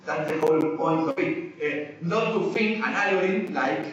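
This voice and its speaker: A man's voice: on the high side, moderate pace. A man giving a technical presentation to a small audience, hesitant and full of fillers and restarts, apologetic about his English. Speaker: conference speaker. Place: small lecture room. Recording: echoey room, distant mic, dull sound.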